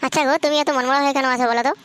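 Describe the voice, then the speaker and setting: Woman playing a worried Bengali housewife, high-pitched, quick talker, soft-hearted, cartoon dub. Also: fairly even intonation, clean tone, no echo, studio quality. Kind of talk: comic cartoon dubbing.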